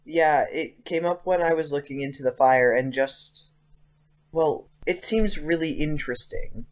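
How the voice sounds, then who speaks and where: An actor playing an earnest, persistent teenage schoolboy with casual, youthful phrasing in an audio drama. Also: male voice, a little high, unhurried, natural voice. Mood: earnest, insistent.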